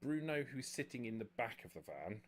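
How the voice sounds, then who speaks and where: A man running a tabletop game as game master, improvising narration in a halting way with repeated words. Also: medium pitch, very animated. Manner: halting, frequent stammered word repeats.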